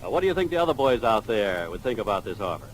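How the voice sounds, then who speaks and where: A man's voice: lowish voice, sing-song, fast talker, a little hiss or hum, low-quality recording. A man reporting and interviewing passers-by for 1940s radio in formal, oratorical phrasing, on a downtown street. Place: outdoors on a busy downtown street. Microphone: broadcast street microphone.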